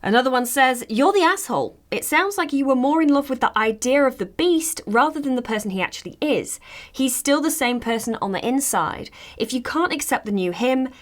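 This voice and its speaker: A woman playing a fairytale podcast host, reading advice posts aloud in an expressive, conversational way. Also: high-pitched, fairly quick.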